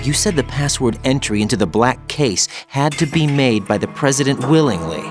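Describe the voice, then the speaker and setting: A man's voice: mid-range voice, expressive, moderate pace, natural voice. An actor playing an earnest young male covert operative in a video game, questioning everything with an incredulous manner. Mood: puzzled. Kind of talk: video game cutscene acting. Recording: clear music behind the voice.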